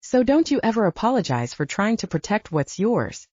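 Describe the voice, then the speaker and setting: A woman's voice: mid-range voice, big pitch swings, normal speed, darkish tone, slightly rough, no echo, highs cut off, pitched above the performer's own voice. A woman voicing a young blonde werewolf woman, protective and reassuring, with a soothing but firm manner, in an audiobook.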